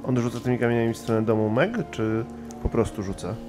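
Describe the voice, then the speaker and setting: A man's voice: mid-range voice, brisk, music in the background. A man playing a tabletop role-playing game, talking casually as his character.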